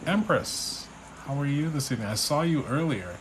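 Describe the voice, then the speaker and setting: A man from Trinidad with a Trinidadian accent, a spiritual reader on a live stream, relaxed and chatty as he reads out viewers' questions. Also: a little high, moderate pace, a little hiss or hum, poor audio. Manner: relaxed, chatty, reads names and questions aloud, restarts often. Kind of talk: live stream reading, answering chat questions.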